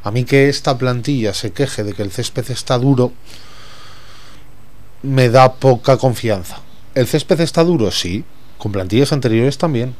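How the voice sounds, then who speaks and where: A man's voice: medium pitch, a little slow, a little mellow. A man, talking as a sports pundit in a casual panel, blunt and opinionated.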